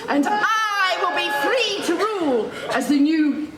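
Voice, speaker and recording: A scheming pantomime villain with a high voice and slow, relished delivery. The villain sneers and gloats theatrically at the audience in an echoey theatre, with music behind. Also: female voice, lively intonation, very noisy, heavily acted. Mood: gloating, mocking. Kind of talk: stage pantomime acting to the audience. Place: indoor theatre stage.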